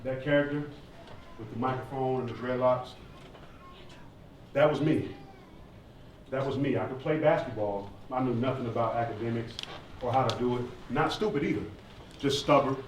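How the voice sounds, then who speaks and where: A man's voice: medium pitch, moderate pace, long pauses, recorded from a distance. A man narrating his own story casually to a live audience from a stage, heard over a PA. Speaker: narrator of an autobiographical stage play. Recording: echoey stage PA recorded from afar, faint noise.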